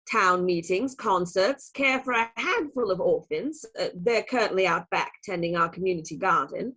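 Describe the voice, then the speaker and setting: Radio play nun, female actor: medium pitch, prim and formal, proud, earnest, firm. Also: a bit bright, slightly rough, rough recording. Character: Sister Tabitha, earnest, proud, stern nun.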